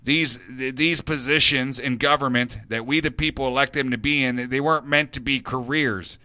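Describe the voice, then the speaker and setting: A man's voice: mid-range voice, fairly even intonation, normal speed, bright, forceful tone, no room sound. A man hosting a radio show, giving a blunt opinion monologue and repeating words for emphasis.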